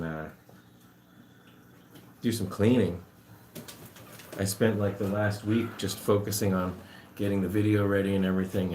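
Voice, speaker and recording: A man's voice: sits low, a little mellow, a little hiss or hum. A man in his forties chatting casually to live-stream viewers at home, rambling and self-amused while he does chores around his guinea pigs. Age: 40s. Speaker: animal rescuer streaming to viewers.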